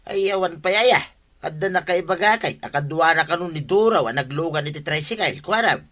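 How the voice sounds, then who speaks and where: A man's voice: fairly high pitch, brisk, sharp tone. An actor playing an exasperated village man who grumbles and complains in a comedy radio drama. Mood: fretting.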